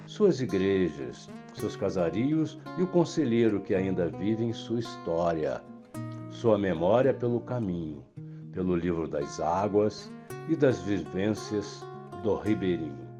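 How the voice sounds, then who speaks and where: Expressive male host over music. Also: low-pitched, a little slow, dull audio. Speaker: interviewer and host. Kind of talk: scripted introduction and interview questions.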